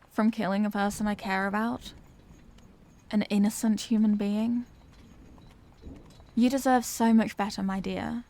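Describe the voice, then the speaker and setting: A woman's voice: medium pitch, darkish tone, close to an everyday voice. A woman voicing an anguished, tender Victorian lady vampire in an intimate audio roleplay, speaking in formal, earnest phrases. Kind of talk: scripted audio roleplay, intimate dramatic monologue.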